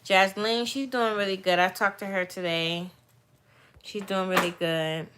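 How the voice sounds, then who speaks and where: A woman, chatting casually and candidly with viewers, rambling and turning soft and heartfelt as she talks. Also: mid-range voice, fairly even intonation, measured pace, background noise. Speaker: vlogger answering viewer questions. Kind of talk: casual livestream chat.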